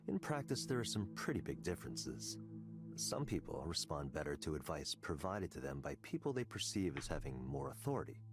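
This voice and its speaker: Polished tech boss, male, very low voice with lively intonation, self-assured game dialogue over music. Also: fairly quick, full character voice. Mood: smooth, self-assured. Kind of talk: scripted video game voice acting. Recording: music behind the voice.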